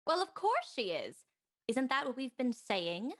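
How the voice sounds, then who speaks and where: An actress playing an excitable, impatient guest at a murder inquiry in a period radio play. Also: female voice, high-pitched, a little slow, heavily acted. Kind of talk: period radio mystery acting.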